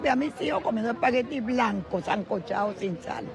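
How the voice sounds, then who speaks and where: A Venezuelan woman in her sixties speaking slowly and sorrowfully outdoors. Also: fairly high pitch, animated, a crowd in the background. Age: 60s. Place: outdoors at a crowded food line. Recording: street noise behind.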